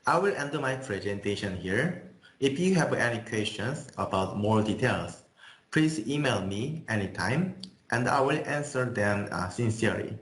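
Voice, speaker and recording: Male technical presenter, native Korean with Korean accent, lowish pitch, careful delivery, echoey recording. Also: animated, normal speed, fairly bright tone. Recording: echoey room sound.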